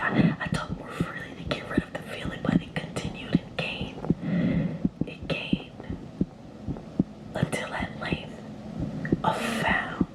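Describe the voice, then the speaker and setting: A woman voicing a vampire storyteller who confesses a murder in a nervous, breathy, dramatic whisper for an ASMR story. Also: roomy sound, lightly acted, low-quality recording. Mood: uneasy.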